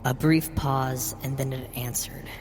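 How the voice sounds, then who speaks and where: A woman with a low voice narrates a scripted horror story in the first person. Her voice carries a long reverb, and music plays behind her. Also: fairly even intonation, measured pace, darkish tone.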